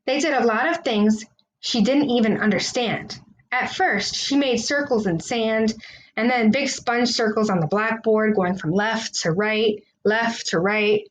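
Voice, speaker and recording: A woman reading a children's storybook aloud, with expressive, deliberate phrasing. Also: medium pitch, a little hiss or hum, rough recording. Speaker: storybook reader.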